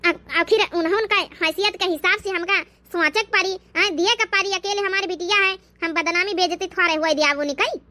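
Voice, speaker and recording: A woman's voice: very high voice, fairly quick, few pauses, sharp tone. A woman voicing a proud, demanding village mother-in-law in a cartoon comedy, insistent, with an Awadhi accent.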